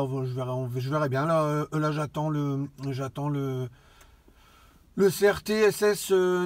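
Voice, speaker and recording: A man chatting casually as an amateur radio operator, halting and often repeating his phrases. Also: fairly high pitch, no echo. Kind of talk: casual radio contact chat.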